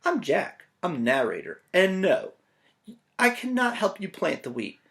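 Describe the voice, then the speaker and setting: A man's voice: sits high, sing-song, unhurried, creaky, fairly bright tone, pitched above the performer's own voice, full character voice. A man voicing a bossy, frazzled young storybook narrator who is brash and exasperated, cutting in on others.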